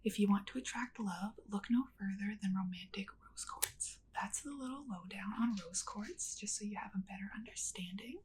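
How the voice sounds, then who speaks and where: A woman doing a whispered ASMR role play as a kindly witch, speaking gently and unhurriedly straight to the listener. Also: mid-range voice, moderate pace, few pauses, a little hiss or hum. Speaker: ASMR creator playing a witch.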